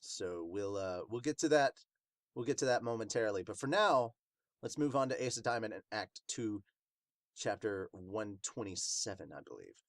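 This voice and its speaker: A man's voice: fairly low pitch, animated, moderate pace, no room sound. A man hosting a podcast, giving casual, rambling, slangy reaction commentary. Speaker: host of a manga review podcast.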